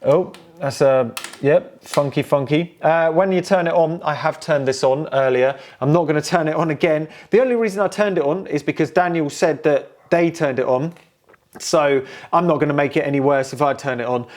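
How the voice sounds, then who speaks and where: A man's voice: on the high side, normal speed, darkish tone. A man giving a casual, unscripted walkthrough of old equipment, thinking aloud hesitantly with playful, informal slang. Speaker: presenter showing and restoring old technology.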